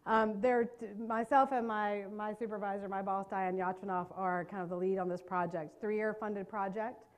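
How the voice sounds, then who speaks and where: A woman's voice: medium pitch, steady tone. A middle-aged woman from North Carolina with a Southern accent, giving a chatty, joking training talk to a live audience in a meeting room. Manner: fast-talking, chatty, self-deprecating jokes. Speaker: trauma care trainer.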